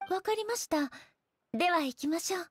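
Regal lady ruler in an anime game, high voice, formal n polite, earnest, bit naive, music behind. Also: animated, brisk. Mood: composed. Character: composed, dignified female ruler, earnest and naive about fiction. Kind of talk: Japanese game voice acting.

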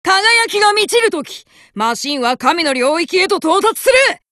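A woman voicing a brash, cocky young male card fighter who boasts and shouts battle chants, recorded as game voice lines. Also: very high voice, sing-song, unhurried, no room sound, full character voice. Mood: fired up. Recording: dry studio recording.

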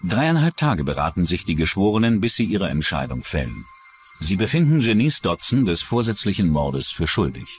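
A man narrating a scripted true-crime documentary in a measured, dramatic storytelling manner. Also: low voice, very animated, faint music behind.

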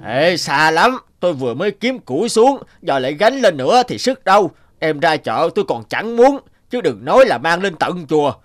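Give this cartoon folk tale its lazy young woodcutter. He speaks in a raised male pitch. He grumbles and dismisses whatever is asked of him. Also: sing-song, moderate pace, hardly any pauses, faint music behind. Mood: grumbling. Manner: complaining, dismissive.

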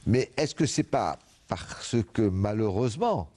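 A man's voice: lowish voice, lively intonation, measured pace, a little mellow. A man hosting a TV studio interview, hesitant and full of "euh" fillers, thinking aloud as he asks. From Algeria. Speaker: TV host. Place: TV studio set, indoors.

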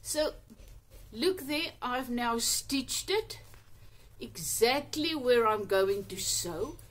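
A chatty woman in her fifties with an Afrikaans accent talks through a sewing demo in a home workroom. Her voice sits high and swoops a lot, and she takes her time. She is picked up by a camera a few feet away. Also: lively intonation, takes it slowly. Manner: chatty and upbeat, with little exclamations. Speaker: sewing channel host. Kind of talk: casual sewing tutorial. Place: cluttered home sewing room, indoors. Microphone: camera on a table, a few feet off.

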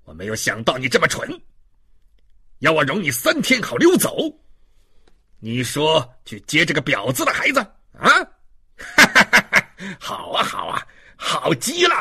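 A man's voice: a little high, wildly swooping pitch, drawn-out words, hardly any pauses, slightly rough, full character voice. A man voicing a brutal, mocking police inspector in a dramatic audiobook, barking with contempt. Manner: barking, contemptuous, forceful delivery.

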